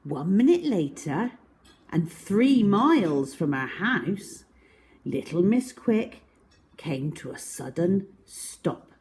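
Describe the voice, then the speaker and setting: A woman reading a picture book to young children as a storyteller, with dramatic pauses and emphasis, speaking directly to her listeners. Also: fairly low pitch, big pitch swings, dark tone.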